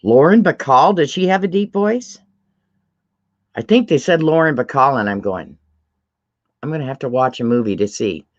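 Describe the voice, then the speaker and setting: A middle-aged woman with a deep voice, hosting a casual livestream chat, chatty and laughing often as she reads out comments. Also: animated, moderate pace, long pauses, dull audio. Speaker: livestream host.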